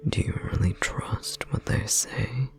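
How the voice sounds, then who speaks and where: A man playing an ancient imprisoned godling, resigned and quietly menacing, in a breathy, creaky whisper for a horror audio roleplay. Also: close to an everyday voice. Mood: quiet menace. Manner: slow, measured, quietly menacing. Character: ancient imprisoned male godling, resigned, eerily calm, wounded.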